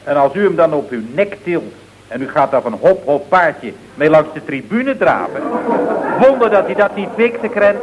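A man's voice: fairly high pitch, animated, moderate pace, lightly acted. Guy playing fussy stammery dutch employee, deferential, old radio comedy, dull noisy recording. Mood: earnest reproach. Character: hesitant, earnest, deferential male employee addressing his boss. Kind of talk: 1970s radio comedy acting.

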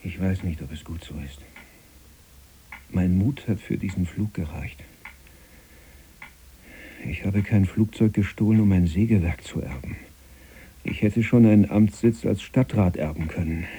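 An actor playing a stubborn, defiant dreamer who longs to escape, in a German radio play, speaking emphatically and declamatorily. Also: male voice, low voice, measured pace, pauses often, mellow, slight background noise. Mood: brooding, stubborn. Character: defiant dreamer, grown man rejecting inheritance, longing to escape.

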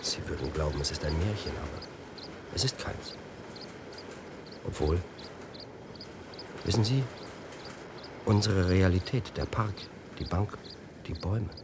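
Deep, halting, brooding man. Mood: troubled, searching. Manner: halting, searching for words. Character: troubled, brooding man musing about other realities. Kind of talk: radio drama acting. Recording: audible background noise.

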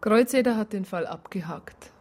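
An actress playing a matter-of-fact woman reporting on a colleague in a radio drama, with a husky voice and a dry manner. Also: moderate pace, a little mellow, soft background music, natural voice. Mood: resigned. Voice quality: husky, low-pitched.

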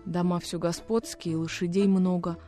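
A woman's voice: lowish voice, little pitch movement, moderate pace, music in the background, natural voice. A woman playing a homesick young peasant orphan boy in a radio drama, plaintive and naive, with rustic folk speech. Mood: naive wonder. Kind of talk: dramatic radio reading of a classic story.

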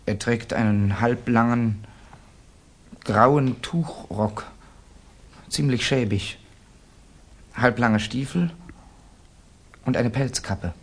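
An actor playing a tormented, anxious young Russian student in a 1960s German radio play, brooding and questioning himself in a husky, creaky voice. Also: male voice, mid-range voice, very slow, pauses often. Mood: tense, halting. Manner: brooding self-questioning, bursting into outcries.

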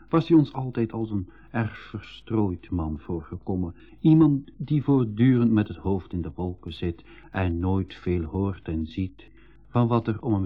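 An actor playing a thoughtful nephew who narrates his world journey in a measured way, with a Belgian Dutch accent, in a school radio play. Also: low-pitched. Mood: reflective. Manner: measured, storytelling. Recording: phone-like, narrow 1980s radio recording.

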